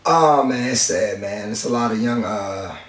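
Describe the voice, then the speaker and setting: A man's voice: lowish voice, normal speed. A man co-hosting a casual podcast chat who is losing his voice, speaking in a somber way that trails off. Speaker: podcast co-host. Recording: roomy sound, mic at a distance.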